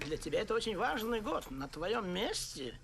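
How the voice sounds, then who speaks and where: A man dubbing an emphatic, officious train conductor in an animated film. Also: high voice, normal speed, vocal fry, a little hiss or hum, exaggerated voice. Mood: officious, urging.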